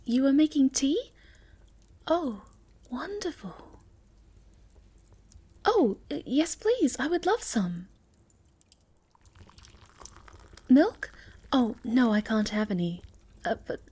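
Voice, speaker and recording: Woman playing a high-voiced, shy, sweet moth girl. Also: husky, exaggerated voice. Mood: eager, polite. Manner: hesitant, stammering, polite, soft-spoken. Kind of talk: ASMR roleplay acting.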